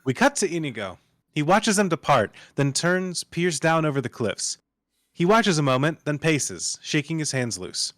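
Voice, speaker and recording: A man's voice: fairly high pitch, big pitch swings, moderate pace, a bit bright, no echo. A man reading stage directions as dramatic scripted narration at a live table read.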